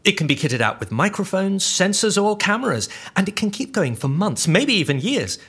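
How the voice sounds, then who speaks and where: Husky, anxious nerdy scientist. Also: male voice, sits high, big pitch swings, moderate pace, a bit bright, heavily acted. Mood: proud, explanatory. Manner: precise, literal-minded, explanatory. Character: anxious, earnest, nerdy insect scientist on the run, male. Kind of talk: audio drama dialogue.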